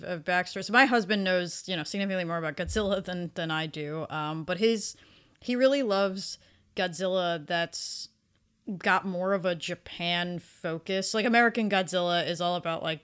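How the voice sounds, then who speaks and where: A woman with a fairly low, clear and smooth voice co-hosts a casual review podcast. She talks in an animated, conversational manner, as if among friends. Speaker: podcast co-host.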